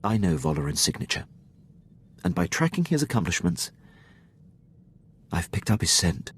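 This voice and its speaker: An actor playing a dutiful Imperial military officer in a video game, speaking with precise, deferential formality. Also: male voice, deep voice, sing-song, normal speed, breathy edge, full character voice. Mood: grave, intent. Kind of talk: scripted video game dialogue.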